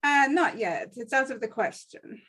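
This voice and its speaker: Woman acting old Sicilian guardian, high pitch, very animated intonation, worried, cautioning, table read. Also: normal speed. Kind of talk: live table read of a film script.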